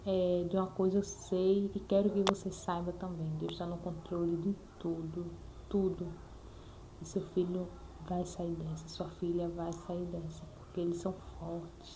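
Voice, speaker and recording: A woman's voice: on the low side, warm tone, slight background noise, rough recording. A Brazilian woman talking casually and earnestly to viewers as a mother, repeating herself and adding frequent check-in tags. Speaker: mother sharing her experience in a vlog. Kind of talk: casual personal talk to viewers.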